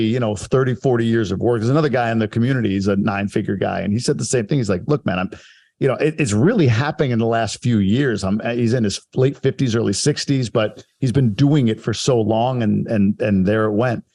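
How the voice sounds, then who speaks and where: A man's voice: medium pitch, a little mellow. A man hosting a podcast interview, enthusiastic and affirming, backing up his guest with frequent agreement. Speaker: podcast host. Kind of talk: conversational interview.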